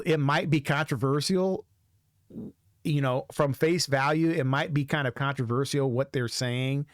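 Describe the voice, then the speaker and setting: Casual, halting man giving reaction commentary, dry sound. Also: mid-range voice, no room sound. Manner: halting, rambling, repeats himself. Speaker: host of a music reaction show.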